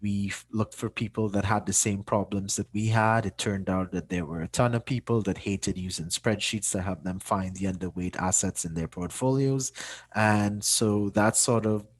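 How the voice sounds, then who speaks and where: A man's voice: fairly low pitch, steady tone, normal speed, dry recording. A man, a startup founder giving a plain-spoken, informal personal talk to an online community. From Trinidad and Tobago. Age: 30s. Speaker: startup founder and chief executive.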